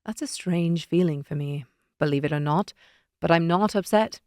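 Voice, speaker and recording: A woman voicing a calm, possessive man of power with a dry, deadpan delivery, in an audiobook. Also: sits low, normal speed, dry recording, natural voice. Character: brooding, possessive ruler man, coolly dry.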